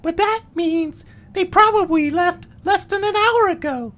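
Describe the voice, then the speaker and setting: A tiny, eager creature in an extremely high, squeaky pitch. It sounds alert and observant, like a cartoon sidekick in an audio drama. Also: male voice, unhurried, a bit bright. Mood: alert, curious. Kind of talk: amateur audio drama acting.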